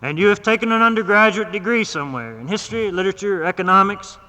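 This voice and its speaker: A man's voice: high voice, fast, sharp tone, long reverb tail, voice pushed up, low-quality recording. A man voicing a domineering, exasperated doctor who questions a patient imperiously in a dramatized literary reading, recorded live in the 1960s. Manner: emphatic, imperious, incredulous.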